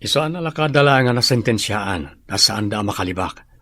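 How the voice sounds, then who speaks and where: An actor with a Filipino accent playing a courteous, well-off gentleman in a radio drama, speaking with assurance. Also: lowish voice, expressive, brisk, dry recording. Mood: satisfied, assured.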